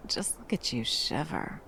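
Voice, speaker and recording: A woman playing a protective, tender monster hunter in an intimate ASMR roleplay, speaking haltingly and breathily, often dropping toward a whisper. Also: low voice, fairly bright tone, slight background noise, exaggerated voice. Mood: teasing, seductive. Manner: halting, coaxing, speaking to a beloved. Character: wandering female monster hunter, fiercely protective, tender lover.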